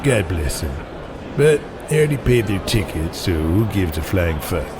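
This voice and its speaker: An actor playing a gruff, cynical older wrestling promoter and father in an audio drama, blunt and foul-mouthed. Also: deep voice, very animated, normal speed, noisy background. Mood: dismissive. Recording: music playing behind the voice.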